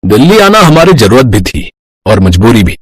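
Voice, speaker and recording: A man acts out a middle-aged father and husband in an animated family tale. He explains things calmly and reassuringly in a husky voice. The recording is dry. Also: mid-range voice, no echo. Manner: measured, explanatory. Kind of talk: animated moral-story voice acting.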